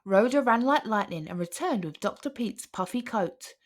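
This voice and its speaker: A woman narrating a children's picture book aloud as an expressive storyteller. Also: medium pitch, normal speed. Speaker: storybook reader.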